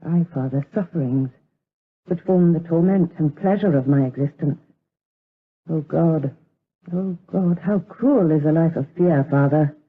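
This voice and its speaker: An actor playing a devoted, anguished young novice monk in a gothic radio drama, pleading in formal, fervent speech. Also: male voice, a little high, a little slow, breathy edge. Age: young adult. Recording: tinny, narrow-band old radio drama.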